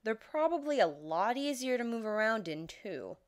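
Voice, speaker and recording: A woman's voice: on the high side, big pitch swings, moderate pace. A woman voicing a foul-mouthed young female terrorist who is irritable, sarcastic and exasperated.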